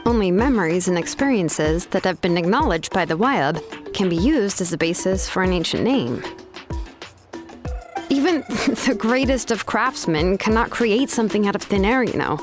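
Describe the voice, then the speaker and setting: Young female game artisan played by an actress, blunt, hesitant, exasperated, medium pitch, soft background music. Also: animated, lightly acted. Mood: explanatory, grave. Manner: measured, hesitant, sighing exasperation. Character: young woman master craftsman, blunt, reluctant, coolly pragmatic. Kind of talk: video game character dialogue. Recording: music behind the voice.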